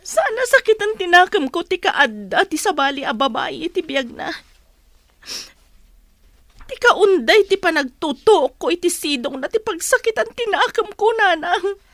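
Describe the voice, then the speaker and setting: A woman's voice: very high-pitched, big pitch swings, moderate pace, a bit bright, no echo, heavily acted. A woman acting a hurt, betrayed wife in a radio drama, plaintive and melodramatic. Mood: sorrowful. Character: hurt, betrayed wife leaving her in-laws' home.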